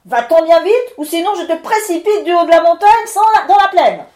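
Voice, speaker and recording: A woman's voice: fairly quick, dark tone, lightly acted. A woman voicing an irascible male wind spirit lord who thunders out threats and scolding. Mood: furious. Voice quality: booming, thundering. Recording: roomy echo.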